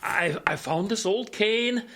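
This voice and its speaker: A man playing a stubbornly hopeful older major, a blinded radio tinkerer, who talks in a halting, rambling way in an audio drama. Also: high voice, noisy background. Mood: reassuring.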